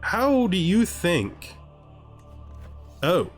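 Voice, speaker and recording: A man's voice: high voice, wildly swooping pitch, drawn-out words, soft background music. A man giving casual gameplay commentary, thinking aloud and often muttering questions and then answering them himself. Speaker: gamer narrating a let's play.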